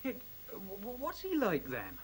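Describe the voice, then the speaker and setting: A man's voice: high voice, wildly swooping pitch, a little slow, a bit bright, exaggerated voice. An actor playing an agitated, cynical young man in a radio play, stammering, slangy and argumentative. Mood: earnest, puzzled. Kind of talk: radio drama acting. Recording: hissy old radio play recording.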